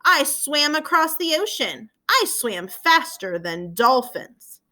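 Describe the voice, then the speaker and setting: A woman's voice: high-pitched, big pitch swings, slow, pushed and bright, exaggerated voice. A woman reading a storybook as a boastful young male monster who is secretly nervous and full of stubborn excuses. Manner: bragging, stubborn, excuse-making. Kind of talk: children's storybook read-aloud.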